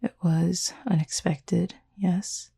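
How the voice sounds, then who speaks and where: A horned cupid roommate, acted by a woman, speaks softly and intimately in a low, husky voice with a mellow tone. The delivery is calm and measured, with polite, formal wording and a tender, teasing warmth made for roleplay audio. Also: heavily acted. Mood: softly amused. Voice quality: soft, breathy, husky.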